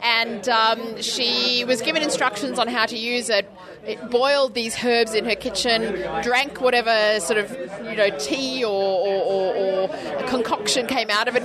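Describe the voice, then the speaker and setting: An Australian woman speaking as a guest in a casual interview, thoughtful and articulate. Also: on the high side. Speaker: interview guest, clinical trials health professional. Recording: busy crowd chatter behind. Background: crowd chatter.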